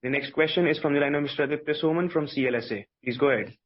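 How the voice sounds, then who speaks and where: Brisk, higher-voiced male operator. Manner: courteous, procedural. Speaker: conference call operator moderating questions. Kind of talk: formal scripted announcements. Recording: dull, lo-fi conference line.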